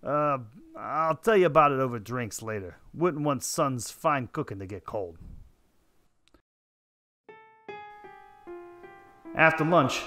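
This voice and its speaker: A man's voice: mid-range voice, fairly bright tone, echoey room, close to an everyday voice. A man playing a stubborn, wry 1940s private detective in a noir radio drama, speaking in terse, slangy tough talk on a close mic. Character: hard-boiled, stubborn 1940s private detective, gruff uncle. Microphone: close studio microphone, headset.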